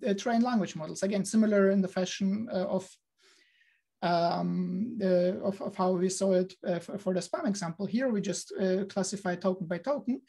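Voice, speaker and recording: A man's voice: high voice, vocal fry, dark tone. A man giving an online lecture over a video call, hesitant, with many fillers and restarts.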